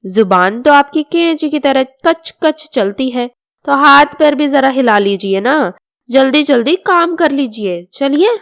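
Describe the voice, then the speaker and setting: A woman's voice: sits high, lively intonation, a little slow, creaky, no room sound, clean studio sound, full character voice. A woman voicing a vain, insolent young daughter-in-law in a family moral story, haughty and cheeky.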